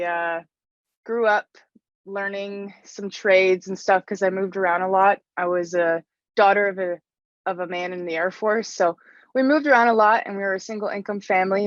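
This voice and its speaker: A young woman answers interview questions in a loose, chatty way, dropping "like" between phrases. Her voice sits at a middling pitch. The recording is dry and a little muffled. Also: no room sound. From Canada. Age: young adult. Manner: chatty, frequent "like" fillers. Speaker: interview guest, stone mason.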